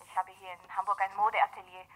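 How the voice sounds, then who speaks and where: A woman's voice: medium pitch, flat delivery, fast. An actress playing a poised, purposeful businesswoman, polite and businesslike, heard through a telephone filter in a 1970s German radio crime drama. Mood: composed, purposeful. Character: poised, purposeful businesswoman, a fashion studio owner. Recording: tinny, narrow telephone line.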